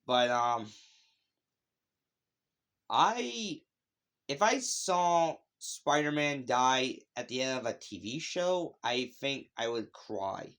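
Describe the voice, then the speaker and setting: A man's voice: mid-range voice, very animated, slow pace, long pauses, a bit bright. Casual, rambling man giving opinions. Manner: rambling, digressive, conversational. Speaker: YouTube video host reviewing animated shows. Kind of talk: casual unscripted opinion vlog.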